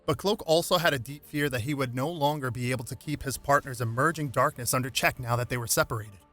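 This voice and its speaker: A man narrates a superhero tale with a bright, crisp edge to his voice. He reads scripted prose dramatically, with faint music underneath. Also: medium pitch, fast talker. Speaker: narrator reading game lore aloud.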